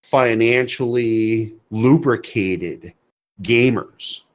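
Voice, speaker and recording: A man's voice: medium pitch, drawn-out words. Emphatic man debating on a podcast. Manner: emphatic, asks and answers own questions. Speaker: podcast co-host. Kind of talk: opinionated casual podcast discussion.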